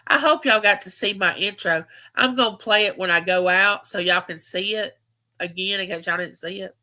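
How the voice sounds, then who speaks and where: Bright-voiced chatty woman in her fifties. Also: mid-range voice, normal speed. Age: 50s. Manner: chatty, storytelling, repeats phrases for emphasis. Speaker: livestream host. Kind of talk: casual live chat.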